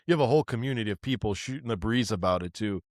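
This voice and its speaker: A man's voice: lowish voice, expressive, racing, dry recording, studio quality. A man hosting a podcast in casual chat, stammering and repeating words as he builds his questions. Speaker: podcast host.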